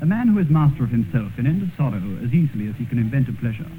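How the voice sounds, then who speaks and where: A man plays a beautiful, heartless young gentleman of radio drama, haughty and composed with anxiety underneath. His delivery is theatrical, in a medium-pitched voice on a thin, lightly noisy old broadcast recording. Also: very animated, rapid-fire, natural voice. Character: vain, callous, beautiful young aristocratic man, secretly anxious. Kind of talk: 1940s-50s radio drama acting. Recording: muffled vintage radio recording, faint hiss.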